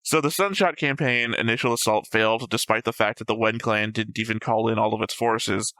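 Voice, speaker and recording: A man's voice: fairly low pitch, steady tone, fairly quick, bright, forceful tone, no room sound. A sleep-deprived man co-hosting an anime discussion show, casually going over notes, arguing points and restating himself. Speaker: co-host of an anime reaction show.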